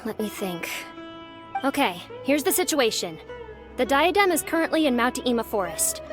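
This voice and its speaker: A woman playing an earnest young competition organizer in a video game, explaining things in an urgent, serious way. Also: sits high.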